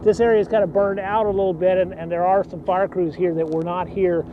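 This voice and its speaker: A man's voice: high voice, fairly even intonation, brisk. A man reporting live outdoors as a photojournalist, unscripted and hesitant, with a stop-and-start delivery. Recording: dull, noisy outdoor live feed.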